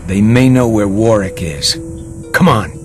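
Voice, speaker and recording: A resolute male frontier hero in a game cutscene. His voice is on the low side and his words are terse and grim, with music clearly underneath. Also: lively intonation, measured pace. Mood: urgent, determined. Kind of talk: video game cutscene acting. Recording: music behind the voice.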